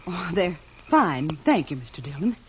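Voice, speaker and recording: A woman playing a guarded, fearful mother in an old radio western, halting and restrained. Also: lowish voice, big pitch swings, slightly rough, heavily acted. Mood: tense, guarded. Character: strained, guarded frontier mother hiding fear. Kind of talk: 1950s radio western acting. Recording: dull, noisy old radio recording.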